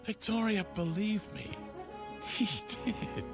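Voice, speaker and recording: An actor playing an excitable, earnest playwright husband in a radio drama, pleading and stammering with dramatic emphasis. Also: male voice, high voice, takes it slowly, music in the background. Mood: awed, insistent.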